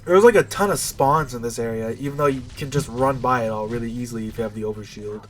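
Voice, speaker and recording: A man's voice: medium pitch, fast talker, a little hiss or hum. A man with a stuffy, nasal voice giving casual, rambling, unscripted commentary while playing a video game. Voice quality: nasal, congested. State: stuffy nose.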